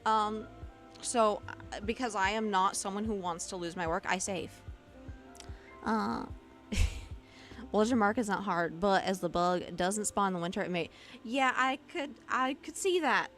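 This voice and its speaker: A woman's voice: mid-range voice, unhurried, fairly bright tone, soft background music. A woman with a mixed Southern and Midwestern accent, chatting casually as she walks through a livestream tutorial, often restarting her sentences. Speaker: Live2D rigging streamer.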